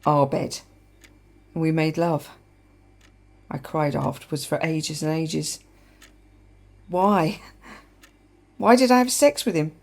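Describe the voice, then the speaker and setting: An actress playing a wry, sharp, defiant woman in a naturalistic radio play. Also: sits low, slow, vocal fry, long pauses, exaggerated voice. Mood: anguished confession. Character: adult woman, wry, sharp, defiant, confessing to her partner. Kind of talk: naturalistic radio play acting, dialogue and monologue.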